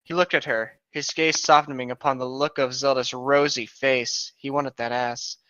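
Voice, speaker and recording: A man's voice: mid-range voice, fairly even intonation, normal speed, sharp tone, no room sound, muffled recording. A man co-hosting a comic read-aloud, dramatic in the story and casual in his asides. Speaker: co-host reading stories aloud.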